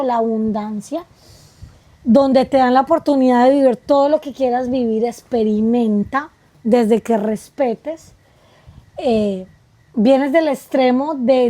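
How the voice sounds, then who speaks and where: High, mellow woman musing. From Colombia. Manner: spiritual, musing, quick asides with rhetorical questions. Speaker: guest. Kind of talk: reflective conversation.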